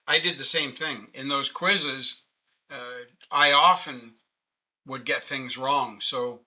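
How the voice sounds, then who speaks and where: A man's voice: medium pitch, steady tone, slow pace, bright, forceful tone, low-quality recording. A man in his late sixties from Canada with a Canadian accent, patiently explaining grammar in a casual online class over a webcam. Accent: Canadian English. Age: 60s. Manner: patient, conversational, self-deprecating. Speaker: webinar presenter. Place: home office. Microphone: webcam video call.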